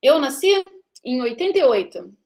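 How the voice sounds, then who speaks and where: A woman's voice: sits high, big pitch swings, normal speed, a little mellow. A woman in her thirties from Brazil giving a live classroom lecture, chatty and teasing with her students, often checking in with them. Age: 30s. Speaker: university lecturer.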